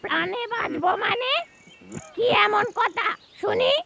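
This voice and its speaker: Very high, squeaky cartoon bird. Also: female voice, unhurried, vocal fry, exaggerated voice. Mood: dismissive. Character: squeaky, excitable cartoon birds of the flock, cheeky and flighty. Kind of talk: children's animated fable acting. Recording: noisy, clicks, birdsong ambience behind.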